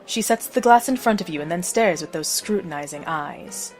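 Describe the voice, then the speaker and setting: A woman's voice: on the low side, expressive, normal speed, faint music behind. A woman narrating a scripted story as the narrator of an audio drama.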